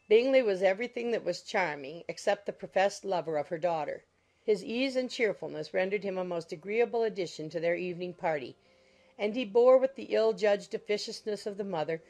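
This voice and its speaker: A woman narrating a classic novel in a measured, literary storytelling manner. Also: medium pitch, darkish tone. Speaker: audiobook narrator. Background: background music.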